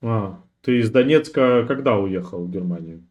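A man's voice: fairly low pitch, lively intonation, normal speed, darkish tone, lo-fi sound. A man hosting a chat roulette stream on a headset, asking short, informal questions in casual chat. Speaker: streamer host.

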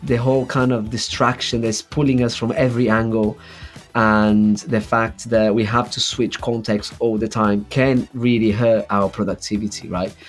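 A man in his thirties hosts a relaxed video-call chat from his home office, speaking through earbuds with a lowish voice. He explains features in an upbeat, gesturing way. Faint music hums behind him. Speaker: company host interviewing a user. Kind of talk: casual interview on a video call.

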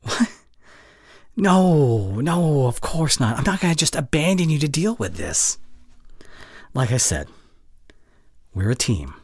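An anxious, protective young boyfriend, acted by a man in an intimate ASMR roleplay. His voice is breathy and husky and moves around a lot in pitch. He speaks haltingly and at an unhurried pace, tender and devoted. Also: very animated, dry recording, clean studio sound, full character voice. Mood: tender, reassuring. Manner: intimate, halting, breathy sighs, stammering when flustered.

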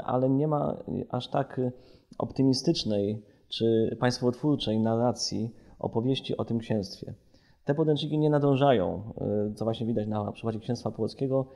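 A man's voice: medium pitch, mellow. A man speaking as an analyst in an interview, explaining with many qualifications and self-corrections. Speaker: policy analyst and report author.

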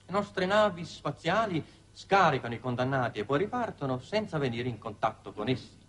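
An actor playing a deferential undersecretary making a careful, formal, hesitant report in a 1960s Italian television drama. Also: male voice, medium pitch, very animated, a little fast, a bit bright. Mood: deferential, cautious. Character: deferential bureaucratic male undersecretary reporting on Venus. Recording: noisy dated 1960s television soundtrack.

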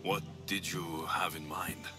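An actor playing a bold, blunt ancient Greek mercenary in a video game, pressing others with direct questions. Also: male voice, deep voice, little pitch movement, a little slow, over loud music. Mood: determined. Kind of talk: video game drama acting.

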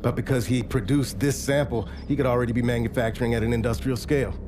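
An actor playing a serious young military technical specialist, matter-of-fact, in a video game briefing. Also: male voice, medium pitch, fairly even intonation, quick pace, noisy background, natural voice. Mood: grim, factual.

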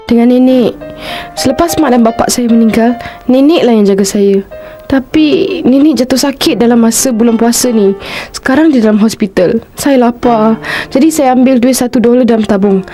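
A woman's voice: on the high side, moderate pace, soft background music, natural voice. A woman voicing a polite, sad young orphan girl in a cartoon. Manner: soft-hearted, polite. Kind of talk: cartoon voice acting.

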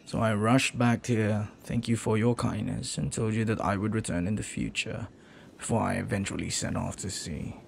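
Flirty pirate played by a man, gravelly and intimate. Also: fairly low pitch, fairly even intonation, moderate pace, slight background noise. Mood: wistful, tender. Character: flirty, silver-tongued male pirate, tender and devoted. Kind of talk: romantic audio roleplay acting, intimate second-person monologue.

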